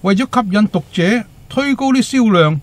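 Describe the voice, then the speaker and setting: A man's voice: sits high, expressive, moderate pace. An actor playing a talkative, timid male waiter with a Hong Kong accent and comic exaggeration in a radio drama. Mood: animated explaining. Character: chatty, timid young male teahouse waiter, eager joker.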